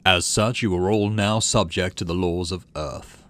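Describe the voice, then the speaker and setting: A man's voice: deep voice, steady tone, unhurried, a bit bright. A man voicing a proud, stubborn military commander from Earth in a sci-fi game, with a self-important, declamatory delivery. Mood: stubborn, official.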